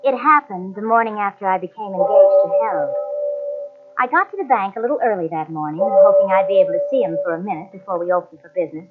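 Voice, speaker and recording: A woman's voice: mid-range voice, quick pace, close to an everyday voice. An actress playing a young bank teller who narrates her own story in a 1940s radio drama, telling it earnestly. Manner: earnest first-person storytelling. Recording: tinny radio transcription with music.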